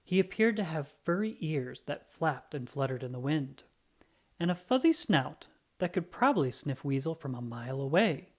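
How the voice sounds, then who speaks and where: A narrator reading a children's storybook aloud in a dramatic, expressive way. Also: medium pitch, normal speed. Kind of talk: animated scripted storybook read-aloud.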